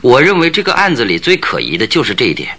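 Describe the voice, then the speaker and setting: Confident detective, radio drama, animated intonation, very bright hard-edged voice, probing, needling, theatrical. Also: male voice, mid-range voice, quick pace, heavily acted. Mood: firm, reasoning. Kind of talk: Chinese radio drama acting.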